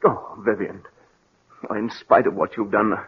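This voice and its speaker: An actor playing a lovesick, possessive wealthy husband in a 1940s radio drama, pleading and melodramatic. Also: male voice, fairly low pitch, flat delivery, unhurried, full character voice. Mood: hurt, pleading. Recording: muffled, husky old 1940s radio recording.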